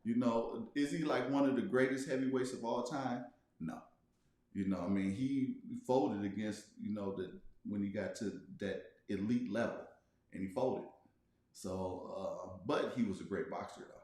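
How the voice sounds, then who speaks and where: A man's voice: lowish voice, normal speed, darkish tone. Man chatting in a very echoey room. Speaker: guest, travel vlogger. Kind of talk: casual livestream chat.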